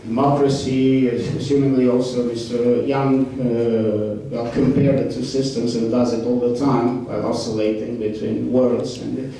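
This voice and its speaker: Man, halting panel talk, picked up from a distance, faint background noise. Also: medium pitch, flat delivery, mellow. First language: Romanian. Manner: halting, many fillers and restarts. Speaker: panel participant. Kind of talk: hesitant panel discussion. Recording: echoey hall, distant mic, faint noise.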